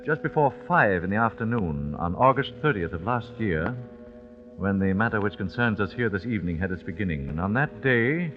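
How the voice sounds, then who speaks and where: Courteous, controlled rich father with secrets male actor, lowish voice, formal radio-play delivery, some music, dull old recording. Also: expressive, brisk, close to an everyday voice. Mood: grave, storytelling. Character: courteous, secretive wealthy father hosting a mysterious dinner. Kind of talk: 1940s radio drama acting.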